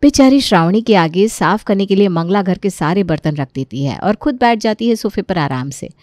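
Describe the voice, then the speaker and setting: A woman's voice: medium pitch, lively intonation, normal speed, darkish tone, no echo. A woman narrator reading a scripted family story.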